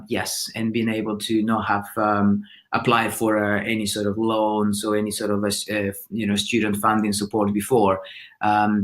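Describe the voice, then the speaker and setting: Low-voiced man answering, full of ums. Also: fairly bright tone. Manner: hesitant, many fillers and restarts. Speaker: student being interviewed. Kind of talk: casual interview answers.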